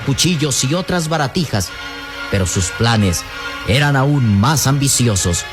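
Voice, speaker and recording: A man narrates a radio serial in Latin American Spanish. His delivery is dramatic and scripted, at a medium pitch. Clear background music plays under his voice.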